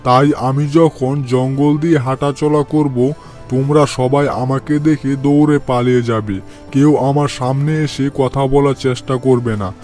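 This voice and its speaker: A man's voice: medium pitch, steady tone, measured pace, a little mellow, soft background music, natural voice. A man voicing an arrogant, bullying tiger who rules the jungle in a cartoon moral story, with a domineering manner. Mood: menacing, boastful. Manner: domineering, threatening, scolding.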